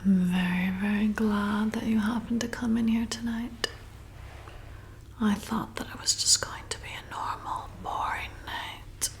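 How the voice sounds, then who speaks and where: A woman's voice: a little high, level tone. A woman playing a flirty, hypnotic vampire bartender in an ASMR roleplay, soothing and coaxing as she repeats herself. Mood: pleased, commanding. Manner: soothing, coaxing, slow and repetitive.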